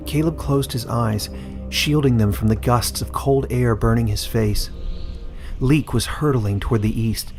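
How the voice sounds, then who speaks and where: A man narrating a scripted fantasy story as an audiobook, in a measured storytelling manner. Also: lowish voice, darkish tone.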